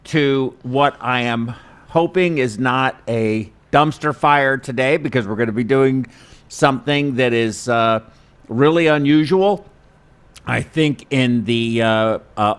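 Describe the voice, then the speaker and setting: A man hosting an informal instructional webinar, talking in a chatty, self-deprecating, halting way. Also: mid-range voice, fairly bright tone, clean tone. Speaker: host and perfusionist instructor. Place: studio.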